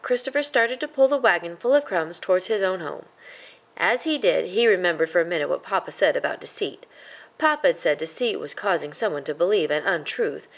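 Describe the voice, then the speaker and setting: A woman reading a children's story aloud as its narrator, in a scripted storytelling style. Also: lowish voice. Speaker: storybook reader.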